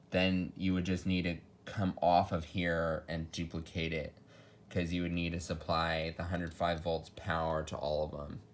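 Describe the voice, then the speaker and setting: A man giving a casual, unscripted technical walkthrough in a matter-of-fact, explanatory way, as a hobbyist showing equipment. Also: low voice. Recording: noticeable background noise with clicks.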